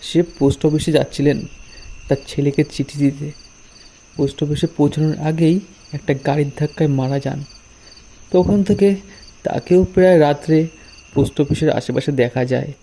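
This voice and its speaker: An anxious village helper, played by a man, with a creaky, dark-toned voice pitched a little high. He is loyal and earnest, in the manner of a horror cartoon. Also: steady tone, fairly quick, pauses often. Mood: grave.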